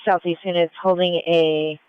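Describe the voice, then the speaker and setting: A man's voice: a little high, flat delivery, moderate pace, clear, resonant tone, dry recording, narrow, tinny audio. A man working as a police dispatcher, reading codes and numbers in a clipped way over a scanner radio.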